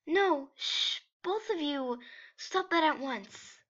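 Woman as a prim, high-voiced motherly monster. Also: expressive, takes it slowly, fairly bright tone, highs cut off, full character voice. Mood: scolding. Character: gentle, scolding motherly monster, politely apologetic. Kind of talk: amateur comic dub acting.

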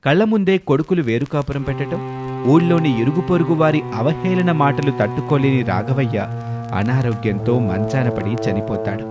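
A man's voice: mid-range voice, normal speed, loud music behind the voice. A man narrating a scripted moral story as a storyteller.

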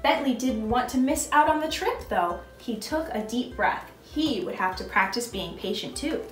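A young woman hosts a children's storytime, narrating and quizzing little listeners. Her voice is high and very animated. It is recorded in an echoey room. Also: moderate pace, faint music behind. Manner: expressive, instructive, addressing small children. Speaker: costumed storytime host reading as a princess.

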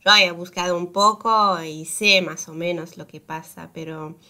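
A woman taking part in a podcast interview, speaking in a thoughtful way and often pausing to find her words. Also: on the low side, fairly even intonation, sharp tone. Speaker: podcast guest.

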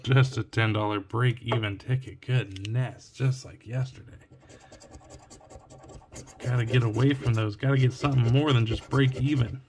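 Man, Oregon accent, tired cracking voice, gravelly, low murmur reading symbols, faint coin scratching. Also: steady tone, normal speed, background noise. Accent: Oregon US English. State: tired, voice deeper and cracking late at night. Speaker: scratch-ticket channel host. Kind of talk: casual narration while scratching tickets. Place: at home, at a table at night. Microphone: phone or camera looking down at the table. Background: coin scratching and clicks.

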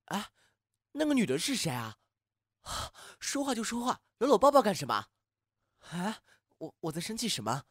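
A man's voice: high voice, huge pitch swings, normal speed, pauses often, no echo, studio quality, full character voice. A man acting a flustered, impulsive young man in love, sighing and agitated, in a Chinese audio drama. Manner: muttering, agitated, sighing, self-questioning. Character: flustered, impulsive young man, shyly lovestruck with his male roommate.

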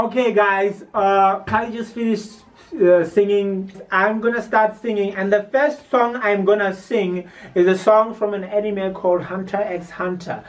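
A man's voice: sits high, fairly even intonation, a little slow, hardly any pauses. A young man casually presenting a family vlog as host, recorded indoors at home by a camera a few feet away.

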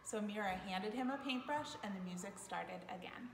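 A woman's voice: medium pitch, normal speed, gravelly. Woman reading in very echoey room. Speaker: school staff member reading aloud. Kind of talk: picture book read-aloud. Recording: echoey reverberant room, faint noise.

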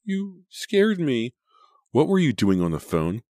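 Nervy teenage babysitter girl, read by a man for a suspense story: higher-pitched, urgent, guilt-prone. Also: very animated, normal speed, no echo, clean studio sound. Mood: startled, accusing. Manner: urgent, fretful.

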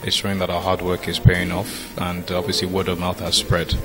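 A man in his thirties answers press questions. His deep voice stays very flat and matter-of-fact over clear music. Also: fairly quick. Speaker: theatre producer. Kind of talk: press interview. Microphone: handheld reporter's mic.

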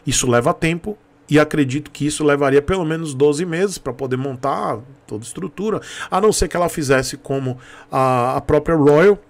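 Male vlogger, thirties, Bahian Brazilian accent, slightly high voice, emphatic informal commentary, repeats points for stress, close desk mic. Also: a little fast. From Salvador, Bahia, Brazil. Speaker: YouTube host, motorcycle commentator. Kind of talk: informal opinion commentary to camera. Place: small home room with shelves, indoors. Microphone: desk microphone close in front.

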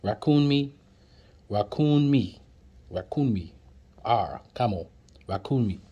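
A man's voice: on the low side, expressive, slow, warm tone, breathy edge, lo-fi sound. Playful man running through flashcards. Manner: playful, repeats words, jokes with viewers. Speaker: vlogger teaching words. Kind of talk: casual flashcard lesson. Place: indoors at a table, off camera.

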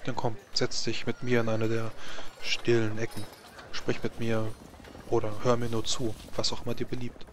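A gentle temple priestess, played by a man at a game table, offers comfort. The delivery is patient and soft-spoken, with little rise and fall and faint noise behind. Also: medium pitch, monotone, normal speed, close to an everyday voice. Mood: gentle consoling. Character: gentle, consoling priestess of a death god.

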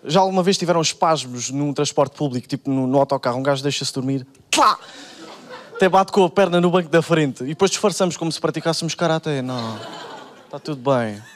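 A man's voice: fairly high pitch, fast. A young man with a European Portuguese accent doing a stand-up routine on a TV studio stage, speaking into a handheld mic and acting out the people he quotes in shifted voices. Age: young adult. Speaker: stand-up comedian.

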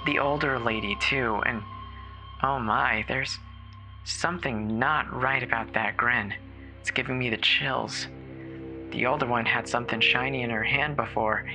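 A performer voicing a terrified woman caller alone in a snowbound house, halting and stammering with fear in a horror audio drama. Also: mid-range voice, steady tone, over music, natural voice, low-quality recording. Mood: uneasy, nervous. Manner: halting, fearful, breathy stammering. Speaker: emergency caller.

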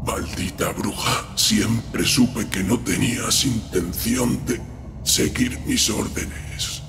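A man's voice: lowish voice, slow, vocal fry. A man with a Castilian Spanish accent voicing a bitter, defeated crime boss in an anime game dub, strained and contemptuous. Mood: bitter, seething. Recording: echoey, music behind. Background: music.

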